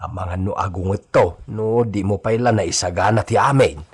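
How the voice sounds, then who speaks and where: Low, husky household servant. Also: male voice, a little fast. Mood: concerned. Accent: Ilocano. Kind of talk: Ilocano radio drama acting.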